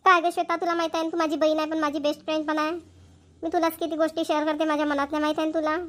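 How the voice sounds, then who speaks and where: A woman performs an excitable young cartoon woman. She chats in a familiar, exclamatory village style, like a close sister in a homely comedy. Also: sits high, racing. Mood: chatty, affectionate. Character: chatty, excitable young Marathi women, two close sisters. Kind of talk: Marathi cartoon comedy dialogue.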